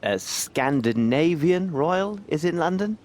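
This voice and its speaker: An actor playing a brilliant, world-weary Victorian detective in a video game, with measured, theatrical, formal phrasing. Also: male voice, fairly high pitch, lively intonation, a little mellow. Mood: courteous, curious. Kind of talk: video game cutscene acting.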